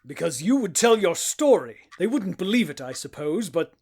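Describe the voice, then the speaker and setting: An actor playing an urbane professor in an audio play, a secret agent with polite, understated menace. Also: male voice, high voice, sing-song, normal speed, a little mellow, husky, full character voice. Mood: coolly menacing. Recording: home-recorded audio play, faint insect-like hiss.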